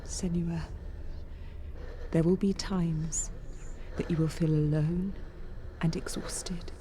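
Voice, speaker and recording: Consoling female guide for a video game, gentle and unhurried, softly hushed, faint noise behind. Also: lowish voice, steady tone. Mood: tender, soothing. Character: gentle, consoling woman guiding a weary listener. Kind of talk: slow dramatic game dialogue.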